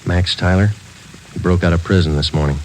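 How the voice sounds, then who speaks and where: An actor playing a terse police detective sergeant, speaking in a clipped, flat, matter-of-fact way in a 1950s radio drama. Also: male voice, low voice, brisk, slight background noise, close to an everyday voice, rough recording. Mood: earnest. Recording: old radio drama with music.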